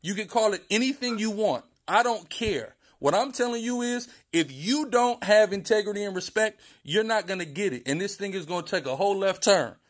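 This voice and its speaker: Man, high voice, heated argument, insistent, lecturing, repeats himself. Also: animated, fairly quick, few pauses. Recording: leaked phone call audio.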